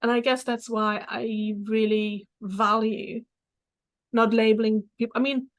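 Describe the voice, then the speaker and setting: Higher-voiced middle-aged woman. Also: a little slow. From Germany, born in Poland. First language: German. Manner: animated, acts out remembered dialogue. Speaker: interview guest, therapist. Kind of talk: casual personal storytelling.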